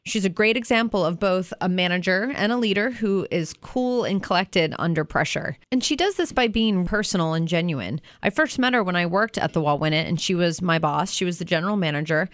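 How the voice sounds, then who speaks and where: A woman with a slightly low voice speaks as an interview host. She moves between prepared introduction and conversational questions, addressing her guest directly. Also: fairly quick, few pauses.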